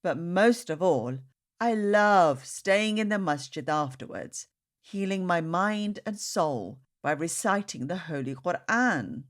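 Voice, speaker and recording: A woman reading the words of tender, devout family members in a children's storybook, gently and earnestly. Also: on the low side, expressive, takes it slowly.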